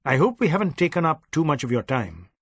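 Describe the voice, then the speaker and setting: Man playing polite, earnest Indian police inspector, higher-pitched. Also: sing-song, fast, creaky, husky, no echo, exaggerated voice. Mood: polite, conciliatory. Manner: deferential, formal.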